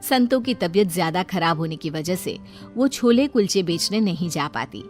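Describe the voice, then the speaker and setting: A woman's voice: fairly low pitch, big pitch swings, normal speed, darkish tone, soft background music. A woman reading a scripted moral bedtime story as the narrator.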